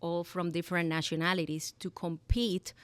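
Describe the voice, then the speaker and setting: A woman's voice: on the low side, little pitch movement, normal speed, no room sound. A woman hosting a live podcast, introducing her guest and asking about her story. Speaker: podcast host. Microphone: live event microphone.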